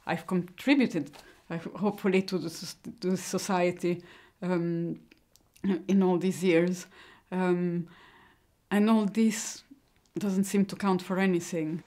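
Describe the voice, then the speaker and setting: A middle-aged woman who grew up in Italy, giving a personal account in a hesitant, halting way. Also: fairly low pitch, steady tone, mellow. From born in Mexico, grew up in Italy. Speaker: interviewee giving personal testimony.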